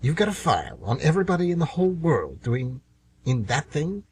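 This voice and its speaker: An actor playing the Devil as a scheming, irritable, sardonic dealmaker in an amateur radio comedy. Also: male voice, a little high, big pitch swings, brisk, a little mellow, a little hiss or hum. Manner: sardonic, insinuating, grumbling.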